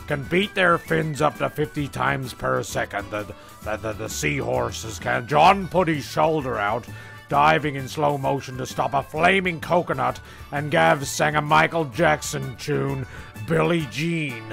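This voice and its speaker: Male comedy narrator, mock-grand and rambling, slightly high with heavy fry, over music. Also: measured pace, a bit bright. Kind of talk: comic scripted storytelling with sung shanty.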